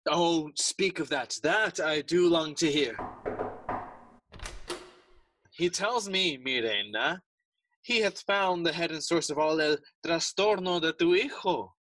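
A man's voice: fairly high pitch, measured pace, fairly bright tone, slight background noise. An actor playing a courtly, scheming king with a formal, regal manner in a bilingual Shakespearean audio play. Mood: gracious, curious. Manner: formal, regal, switches between Spanish and English.

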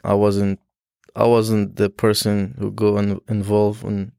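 A young man from Afghanistan whose first language is Dari Persian, speaking as a student guest in an interview, halting with frequent restarts and fillers. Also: lowish voice, little pitch movement, creaky, warm tone, clean tone, no room sound, studio quality. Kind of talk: casual interview.

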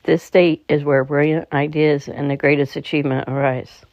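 A meditation guide speaking in a calm, slightly halting way, leading a slow guided relaxation. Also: mid-range voice. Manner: calm, halting delivery with stumbles. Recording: dull, muffled lo-fi recording.

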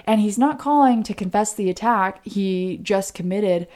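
A woman's voice: medium pitch, normal speed, mellow. An American woman co-hosting a podcast, telling a true-crime case in a conversational way. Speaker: podcast co-host.

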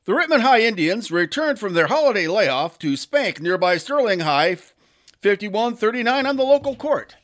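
A man hosting a local podcast, reading scripted notes aloud in a plain, deliberate way. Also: sits high, very animated, fairly bright tone. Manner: plain, deliberate read-aloud delivery with little ad-libbing. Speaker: local community podcast host.

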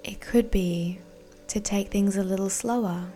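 A woman guiding a meditation in a soothing, deliberate, breathy voice that often nears a whisper. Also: mid-range voice, steady tone. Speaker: meditation guide. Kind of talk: guided meditation narration. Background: soft background music.

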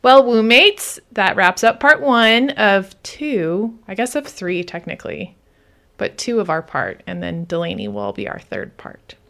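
A woman's voice: medium pitch, sing-song, a little slow, vocal fry, fairly bright tone. A woman hosting a podcast, speaking in a conversational interviewing style.

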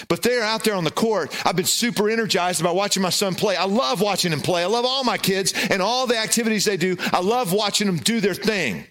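A middle-aged man with a loud voice, preaching a sermon and telling a self-deprecating, humorous personal story. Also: sits high. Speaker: pastor.

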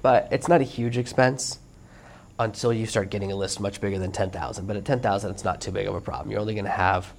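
A man with a lowish voice talks alone into the mic as a podcast host. His voice is hoarse and worn out, and he explains each point in a steady, earnest way. Faint ambience sits behind him. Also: quick pace, a little hiss or hum. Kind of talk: solo instructional monologue.

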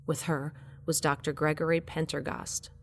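A woman's voice: low-pitched, little pitch movement, normal speed, slight background noise. An actress playing a wry heroine who narrates her adventure in the first person in an audio drama. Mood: matter-of-fact.

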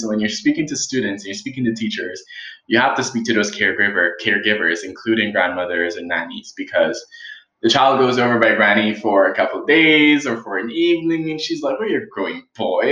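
A man's voice: fairly low pitch, sharp tone. A young man from the Caribbean, answering interview questions as a health advocate, thoughtful, with many ums and restarts. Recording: roomy echo.

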